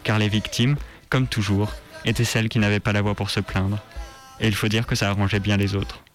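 A man's voice: lowish voice, little pitch movement, fairly quick, music in the background. A man with a French accent presenting as a contributor on a radio show. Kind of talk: scripted narration. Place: radio studio.

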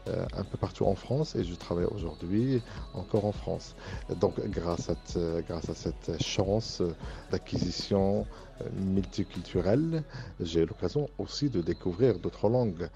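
Deep, earnest man. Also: gravelly, dark tone, soft background music. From Morocco. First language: Arabic. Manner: earnest and measured, with frequent hesitant fillers. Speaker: university professor and engineering director. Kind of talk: interview testimony for a student podcast.

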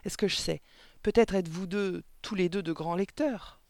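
A man's voice: sits high, moderate pace, vocal fry, no echo, studio quality, pitched above the performer's own voice. A man voicing a young woman schoolteacher in a storybook, polite, articulate and insistent. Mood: probing.

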